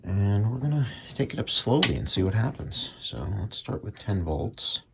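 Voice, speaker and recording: Man, gravelly low voice, casual demonstration, matter-of-fact, short phrases, noisy small workbench recording. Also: sing-song, normal speed. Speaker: hobbyist radio restorer presenting his own repair. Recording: clearly noisy workshop recording with clicks.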